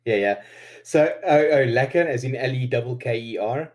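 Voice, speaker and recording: Relaxed South African man, Afrikaans native. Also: medium pitch, moderate pace. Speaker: guest. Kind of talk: casual interview chat.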